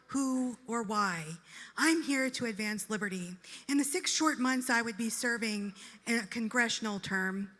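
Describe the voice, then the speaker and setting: Woman, political candidate, assertive, bright tense tone, long hall reverb. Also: on the high side. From Colorado. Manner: assertive, declarative. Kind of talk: debate answer. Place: live debate in a large event venue. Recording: long reverb in a large venue.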